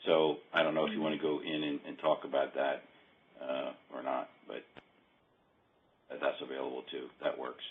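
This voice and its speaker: A man with a very low voice walks someone through software on a muffled, phone-like call. He talks casually and haltingly, working things out aloud as he goes. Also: monotone. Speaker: software demonstrator. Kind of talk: casual screen-share walkthrough. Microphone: phone or conference call.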